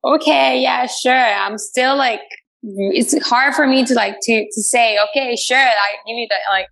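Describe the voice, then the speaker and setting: Woman guest from Latin America, gravelly chatty delivery full of fillers. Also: a little high, a little slow, a bit bright, rough recording. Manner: chatty, many fillers and restarts, laughs often. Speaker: radio show host, guest. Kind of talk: casual interview answers.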